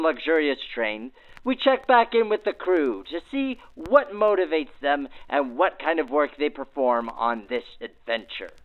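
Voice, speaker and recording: A man's voice: on the high side, no room sound. A man hosting and interviewing in a formal, descriptive old-time radio manner, with his voice filtered like a 1940s broadcast. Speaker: host and interviewer of a train excursion show. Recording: narrow phone-like vintage radio sound, music behind.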